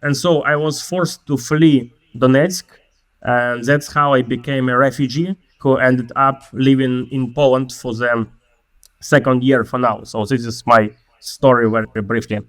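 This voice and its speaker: A male interview guest speaks English as a second language with a Ukrainian accent. He tells a personal account haltingly and carefully, in a medium-pitched voice with a dry, close sound. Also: fairly even intonation, no room sound. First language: not English. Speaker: guest, theology student.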